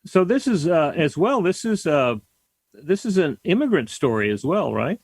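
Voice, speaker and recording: A man's voice: a little high, big pitch swings, normal speed, a little mellow, husky, no echo. Radio host guy, encouraging, stammers a bit, dry close mic. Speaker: radio talk show host. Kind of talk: interview host.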